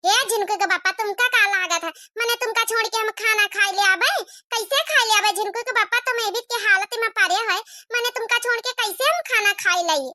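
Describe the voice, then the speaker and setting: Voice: very high-pitched, moderate pace, few pauses, pushed and bright, no room sound, clean studio sound, exaggerated voice. A performer voicing a pleading, devoted young village wife in an Awadhi accent, whiny and melodramatic, in a family comedy cartoon. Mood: worried, pleading. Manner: whiny, melodramatic, repetitive begging.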